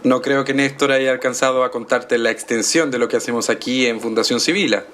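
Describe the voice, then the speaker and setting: Actor as a suave, self-important boss. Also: male voice, mid-range voice, steady tone, fairly bright tone, slight background noise, natural voice. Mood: assured, explanatory. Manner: suave, formal, deliberate with dramatic pauses. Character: self-important, courteous male entrepreneur and boss. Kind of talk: naturalistic audio drama acting.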